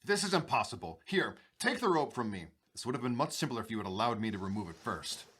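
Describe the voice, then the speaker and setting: An actor playing an irritable, imperious, proud sultan in a radio drama, with a pompous, blustering manner. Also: male voice, mid-range voice, expressive, fast, noisy background, natural voice. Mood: impatient.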